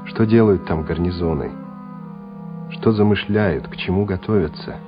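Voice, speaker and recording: A man's voice: low voice, normal speed. A man reading scripted dramatic narration as the narrator of a radio play. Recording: muffled old radio recording. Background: music.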